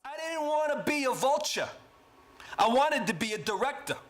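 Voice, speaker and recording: A whiny wiseguy vulture. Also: male voice, very high voice, lively intonation, normal speed, background noise. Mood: self-pitying. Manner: self-pitying, comic wiseguy rant. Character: whining male vulture, self-pitying, wiseguy talk. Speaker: stage actor in a live radio play. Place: live stage recording before an audience.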